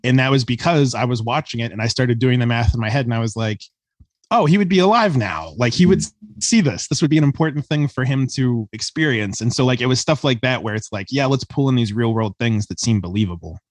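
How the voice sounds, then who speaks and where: A man's voice: mid-range voice, lively intonation, fairly quick, dry recording. A man speaking as a podcast guest, telling anecdotes casually and acting out quoted reactions. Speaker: podcast guest, audio drama maker.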